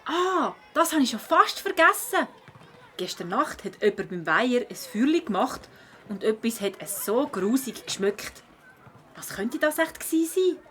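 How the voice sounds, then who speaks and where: Swiss german kid detective, feisty and inquisitive, highish animated voice, kids audio drama. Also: female voice, normal speed. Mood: excited. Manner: expressive, inquisitive. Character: curious, feisty schoolgirl, a cat, amateur detective.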